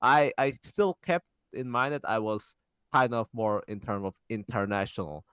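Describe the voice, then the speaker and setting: Young guy with a smooth clear voice, podcast chat, lots of uh and restarts, dry close sound. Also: lowish voice, fairly bright tone, no echo. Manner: halting, frequent restarts and uh fillers. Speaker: podcast co-host. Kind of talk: casual conversational chat.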